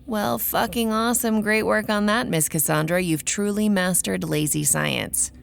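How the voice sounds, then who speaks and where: A woman playing a sardonic, stubborn young vampire researcher in an audio drama, with a creaky voice and dry sarcasm. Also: mid-range voice, normal speed, soft background music. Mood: sarcastic, defensive. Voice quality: gravelly, creaky. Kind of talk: audio drama acting and first-person narration.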